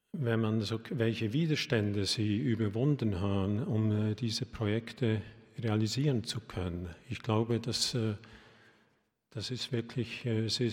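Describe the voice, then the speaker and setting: Older Swiss German man, architect, gives a halting welcome speech with many ums, pitched a bit low, heard in a reverberant hall. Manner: halting, full of fillers and restarts, glancing at notes. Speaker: architect giving an opening address. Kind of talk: hesitant welcome speech at an exhibition opening. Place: academy event hall.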